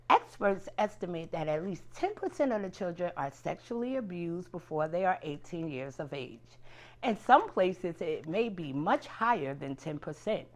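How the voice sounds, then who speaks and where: Expressive woman presenting scripted advice. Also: fairly low pitch. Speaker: family support provider presenting. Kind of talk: scripted educational narration for parents.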